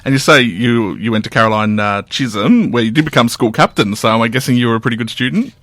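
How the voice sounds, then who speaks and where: A man with an Australian accent hosting a podcast and asking relaxed, friendly questions. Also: mid-range voice, quick pace, dry recording. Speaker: podcast host. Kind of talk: conversational interview.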